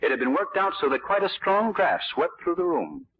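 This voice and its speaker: Suave, very husky gentleman detective. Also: fairly high pitch, big pitch swings, fairly quick, exaggerated voice. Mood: amused, explaining. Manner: urbane, explanatory, amused. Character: suave, self-assured gentleman amateur detective. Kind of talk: 1940s radio drama acting. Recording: dull old 1940s radio recording.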